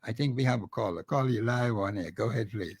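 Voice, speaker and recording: A man's voice: on the low side, breathy edge. A man with a Bahamian accent hosting a call-in radio talk show, exhorting listeners and taking calls. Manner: fiery, exhorting, repeats phone number slowly.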